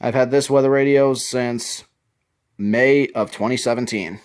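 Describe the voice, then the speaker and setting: Male hobbyist vlogger, average pitch, casual show-and-tell delivery. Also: steady tone, measured pace, clear, resonant tone. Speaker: hobbyist presenting a radio collection.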